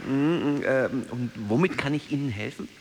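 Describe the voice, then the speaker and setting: A man playing a reserved, disillusioned solitary character in a restrained, measured radio drama style. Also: mid-range voice, a little mellow, slight background noise, lightly acted. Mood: hesitant curiosity.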